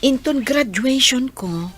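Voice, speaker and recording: A gentle young student sweetheart in a radio drama. She speaks slowly and sincerely in a fairly high voice with an Ilocano accent. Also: expressive, slightly rough, heavily acted. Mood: tender, sincere. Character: gentle, principled young woman student named Cherry.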